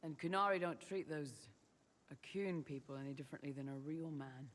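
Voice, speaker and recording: A man's voice: fairly high pitch, a little fast, a bit bright, big-room sound, lightly acted. An actor playing a young male mercenary lieutenant in a video game, teasing with dry, sardonic wit. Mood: earnest. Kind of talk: video game character dialogue.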